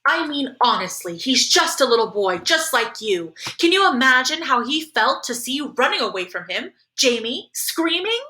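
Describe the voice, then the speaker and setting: Woman acting a firm, bright-voiced mother. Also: mid-range voice, very animated, fast talker, hardly any pauses. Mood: earnest reproach. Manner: scolding, earnest. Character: firm, caring mother of two boys, easily disappointed. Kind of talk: audiobook character dialogue.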